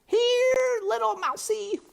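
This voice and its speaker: A woman's voice: extremely high pitch, slow pace, gravelly, voice pushed up, exaggerated voice. A woman voicing a gleeful, bloodthirsty chorus of hungry rats in a sing-song, taunting way for a storybook.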